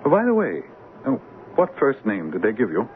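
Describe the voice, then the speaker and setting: An actor playing a jovial, impulsive young monarch in a 1970s radio drama, with a hearty, regal manner. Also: male voice, mid-range voice, huge pitch swings, a little fast. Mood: genial, expansive. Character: jovial, impulsive king about to be crowned. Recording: noisy narrow-band vintage radio broadcast.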